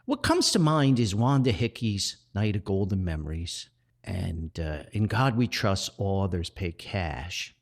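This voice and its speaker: Middle-aged guy doing a casual podcast, low voice that swoops all over, rambly storytelling. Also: expressive, unhurried. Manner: rambling, chuckles often, nostalgic storytelling. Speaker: podcast host and author. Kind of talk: casual, anecdotal solo podcast talk.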